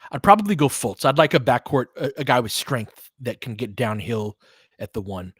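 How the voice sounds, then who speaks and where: Male play-by-play host for basketball. Conversational, thinks out loud, breaks down the action, recorded dry. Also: mid-range voice, moderate pace, breathy edge, no echo. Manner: conversational, thinking aloud, analytical. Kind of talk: live basketball commentary with casual asides.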